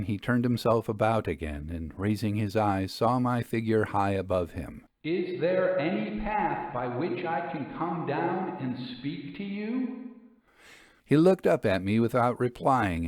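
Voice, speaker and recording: Low-voiced male storyteller, dry sound. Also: a little mellow, no room sound. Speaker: storyteller, podcast host. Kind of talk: literary audiobook narration.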